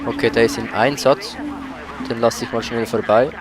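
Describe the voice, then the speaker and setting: A man's voice: medium pitch, steady tone, moderate pace, noisy background. A man doing casual let's play commentary as host, with a somewhat hesitant delivery, searching for his words.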